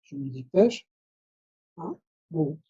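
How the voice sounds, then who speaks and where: A man's voice: on the high side, expressive, takes it slowly, dark tone, breathy edge, dull audio. A man from Belgium whose first language is Dutch, giving a conference lecture, restarting phrases and adding tag questions. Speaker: conference speaker.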